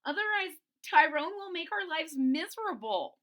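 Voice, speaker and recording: A woman's voice: extremely high pitch, big pitch swings, moderate pace, a bit bright, pitched above the performer's own voice, heavily acted. A woman voicing a worried, wavering young dinosaur in a storybook, tentative and pleading.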